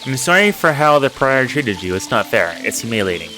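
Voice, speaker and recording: A man's voice: medium pitch, sing-song, fairly quick, sharp tone. A man voicing a sincere, devoted young artist in a story game, earnest and sympathetic. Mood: indignant sympathy. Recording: music under the voice.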